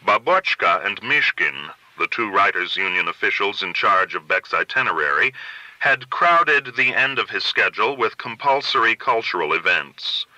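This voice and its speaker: Very bright-voiced male audiobook narrator. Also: on the low side, sing-song, normal speed, no room sound, poor audio. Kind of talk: literary fiction reading, scripted narration.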